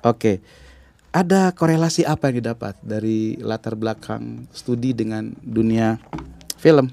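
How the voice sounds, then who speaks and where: A man hosts a lively chat-show interview, speaking. He keeps things playful and often jumps up sharply in pitch when something surprises him. His voice sits at a middle pitch. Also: unhurried, creaky, slight background noise. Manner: playful, exclaims with sudden high jumps in pitch. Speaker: interviewer host. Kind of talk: chatty talk-show interview.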